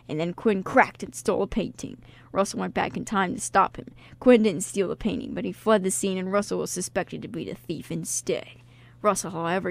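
A woman's voice: on the low side, gravelly. A woman hosting a radio show, talking in a casual, jokey, informal way. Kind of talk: casual radio chat and reading a synopsis aloud.

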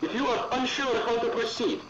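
A man's voice: very high-pitched, flat delivery, brisk. A man voicing a Russian-accented male controller at a facility, giving formal instructions. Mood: instructing. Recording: echoey, dull game audio with loud noise.

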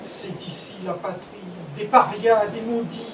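A woman solemnly declaiming epic poetry in measured, incantatory short phrases. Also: medium pitch, fairly even intonation. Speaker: poetry reciter. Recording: echoey, distant microphone, clear background noise.

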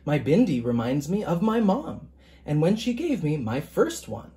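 A storybook reader voicing a loving, reassuring mother who explains things gently and playfully to a child. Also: mid-range voice, big pitch swings, moderate pace, slight background noise, close to an everyday voice.

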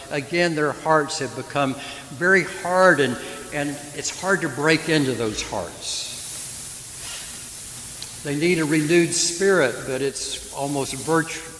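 A man in his seventies, a priest giving a sermon and telling stories, often turning to the congregation with questions. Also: a little high. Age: 70s. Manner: gentle storytelling preacher who asks the congregation questions. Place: church. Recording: echoey church hall with hiss.

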